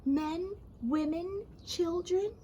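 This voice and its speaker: A well-travelled, mysterious male frog from a storybook, played by a woman reader. He talks slowly in a high voice, savouring each word with proud emphasis. There is faint noise in the recording. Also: dark tone, full character voice. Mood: mysterious, marvelling. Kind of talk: children's storybook read-aloud.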